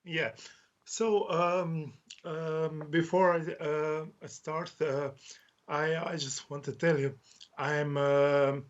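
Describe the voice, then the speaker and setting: A man with a Croatian accent, a guest author on a podcast, answering in halting English and searching for words over a video call. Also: on the high side, flat delivery, highs cut off. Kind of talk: interview answers.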